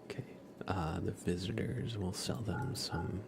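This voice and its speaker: A soft-spoken man hosting a relaxed ASMR gaming livestream, often dropping into a whisper. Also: sits low, unhurried, vocal fry, very noisy. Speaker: livestream host.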